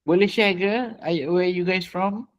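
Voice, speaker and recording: A man's voice: high-pitched, fairly even intonation, moderate pace. A man with a Malaysian accent hosting an online session, chatting casually with participants and mixing English with Malay. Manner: mixes English and Malay, often repeats phrases. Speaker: webinar host. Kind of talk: casual welcoming chat with participants. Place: online video call.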